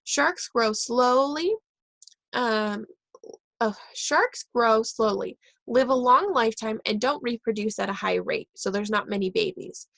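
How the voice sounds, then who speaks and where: Gravelly woman reading a kids' book. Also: a little high, expressive, fairly bright tone, no room sound. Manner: reads aloud with pauses and self-corrections. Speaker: reader. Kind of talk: reading a children's book aloud.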